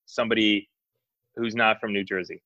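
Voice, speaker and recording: A man's voice: fairly low pitch, fairly even intonation, moderate pace, bright, forceful tone, dry recording, dull audio. A man from Israel chatting casually as co-host, thoughtful and hesitant, with frequent fillers. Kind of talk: casual chat.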